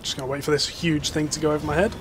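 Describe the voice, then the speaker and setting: A man's voice: on the high side, level tone, rapid-fire, background noise. A young man giving rambling, enthusiastic casual commentary while playing a game. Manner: rambling, filler-heavy, enthusiastic. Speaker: gaming YouTuber.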